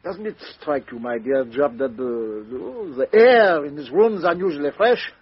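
An actor playing a fastidious detective, hesitant and musing, in a 1950s radio drama. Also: male voice, fairly high pitch, expressive, breathy edge. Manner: hesitant, musing, stammering repeats. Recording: dull lo-fi old radio recording.

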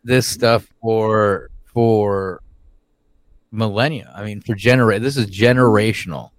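A man's voice: on the low side, steady tone, measured pace, no echo. A man co-hosting a podcast, complaining angrily and swearing about politics. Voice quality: profane, indignant. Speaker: podcast co-host. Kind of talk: angry political chat.

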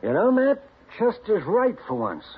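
An actor in a 1950s radio western playing a wry, skeptical frontier doctor with a dryly grumbling manner. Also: male voice, sits high, huge pitch swings, a little slow, breathy edge, full character voice. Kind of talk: 1950s radio drama acting. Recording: tinny old radio broadcast.

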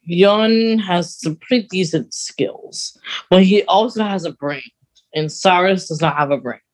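A podcast co-host with a mid-pitched voice and a very unhurried pace. The delivery is casual and halting, rambling through a recap as in a relaxed chat between co-hosts. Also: poor audio.

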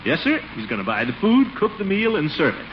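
Blustery pompous commissioner, old 40s radio comedy, voice goes all over, muffled and hissy. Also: male voice, sits high, huge pitch swings, brisk. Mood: pleased, evasive. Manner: pompous, blustering, chuckling, exclamatory. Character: pompous, blustery middle-aged male water commissioner, easily exasperated. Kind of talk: 1940s radio sitcom acting. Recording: noisy, muffled 1940s radio broadcast recording.

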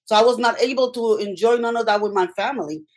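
Higher-pitched Puerto Rican woman telling her story. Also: fast talker. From Puerto Rico. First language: Spanish. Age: middle-aged. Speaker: interview guest, healthcare worker. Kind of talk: personal storytelling in interview.

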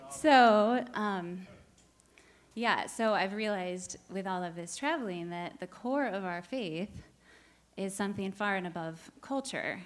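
A woman in her forties from the United States answers questions in a church service, candid and a little nervous, working from notes. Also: medium pitch. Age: 40s. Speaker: church search team member.

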